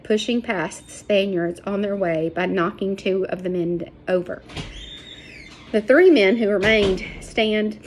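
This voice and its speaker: A woman reading a children's novel aloud as an expressive storyteller. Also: mid-range voice, slight background noise. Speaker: storybook reader. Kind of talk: scripted narration, children's book read aloud.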